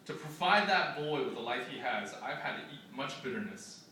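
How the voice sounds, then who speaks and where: Stern, long-suffering dad, played by a male reader; solemn and measured, distant echoey live recording. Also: mid-range voice, lively intonation, bright, forceful tone. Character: stern, self-sacrificing immigrant father. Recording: echoey room, distant camera mic, noisy.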